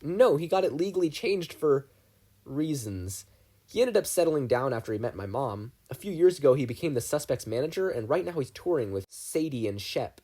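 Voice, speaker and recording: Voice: medium pitch, very animated, moderate pace, mellow, slightly rough, pitched above the performer's own voice. A reader voicing an earnest, cheerful teenage boy who rambles eagerly.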